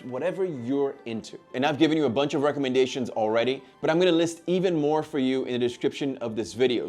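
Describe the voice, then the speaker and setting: A man's voice: mid-range voice, darkish tone. A man in his thirties, born in Brazil with Portuguese as his first language, talking earnestly and emphatically in a scripted self-improvement vlog. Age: 30s. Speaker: lifestyle YouTuber.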